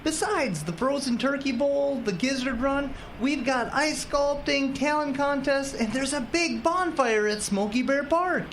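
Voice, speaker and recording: A woman's voice: high-pitched, unhurried, few pauses, a little hiss or hum. A woman playing an eager, stubbornly curious young married man coming home, in an audio drama. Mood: cheerful, reassuring.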